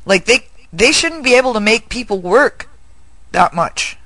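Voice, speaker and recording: A man's voice: extremely high pitch, lively intonation, measured pace, pushed and bright, dry recording. A man with a Northern US accent, interviewing in a casual chat and laughing often.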